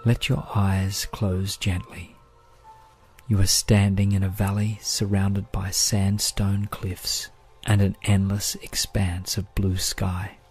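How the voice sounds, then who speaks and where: Slow, low-pitched male meditation guide over music. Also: level tone, husky. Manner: gentle, soothing, measured delivery.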